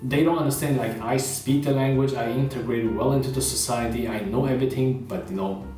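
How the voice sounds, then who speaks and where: A man's voice: mid-range voice, fairly even intonation, brisk, warm tone. A man from China, talking casually to camera, full of fillers like "you know" and "uh". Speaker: vlogger. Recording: roomy echo, heard from a distance, with faint guitar music.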